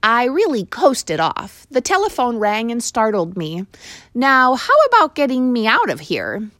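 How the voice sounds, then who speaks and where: A woman's voice: on the high side, huge pitch swings, unhurried, fairly bright tone, no echo, clean studio sound, pitched above the performer's own voice, exaggerated voice. A woman voicing a small, brash male mouse who is nervous but bold, in a storybook reading. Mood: boastful.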